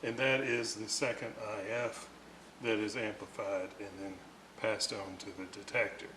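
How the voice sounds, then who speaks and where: A man giving an unscripted, hands-on technical explanation in a methodical, halting way, often searching for words. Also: on the low side, level tone, pushed and bright, a little hiss or hum, poor audio. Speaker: radio restorer presenting repair videos.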